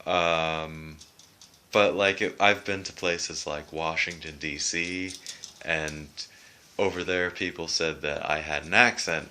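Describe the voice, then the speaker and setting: A man's voice: low voice, sharp tone, a little hiss or hum. A young man from Canada with a Canadian accent, chatting off the cuff to his webcam at home and filling his talk with "you know" and "right". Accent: Canadian, southern Ontario. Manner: rambling, many fillers like "you know" and "right". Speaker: vlogger. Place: room at home, dim lighting.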